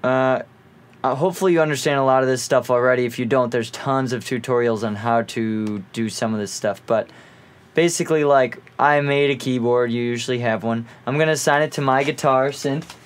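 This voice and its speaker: A man's voice: mid-range voice, brisk. A man presenting an informal music gear tutorial, explaining in an offhand way as he thinks aloud.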